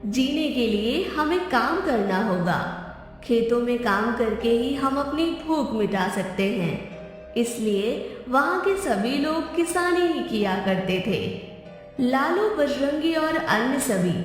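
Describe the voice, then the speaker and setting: Woman narrating a cartoon story real slow, highish voice, distant in a big echo. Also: animated. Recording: very echoey hall, distant, faint music.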